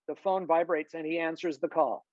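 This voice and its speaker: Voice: mid-range voice, fairly even intonation, normal speed, a little mellow, no echo, muffled recording. A narrator reading screenplay stage directions and scene headings in a scripted style. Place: close, dry room on a video call.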